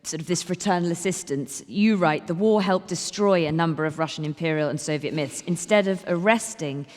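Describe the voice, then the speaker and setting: Low voice lady moderating, calm and measured, big hall echo. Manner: measured, reads quotes aloud. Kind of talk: moderating a panel discussion. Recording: long hall reverb.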